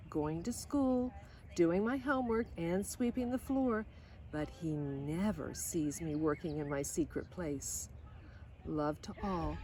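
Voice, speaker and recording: Woman reading a storybook, soft-toned, noisy recording. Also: on the low side. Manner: expressive, pausing for short asides to listeners. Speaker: storybook reader. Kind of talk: reading a children's picture book aloud.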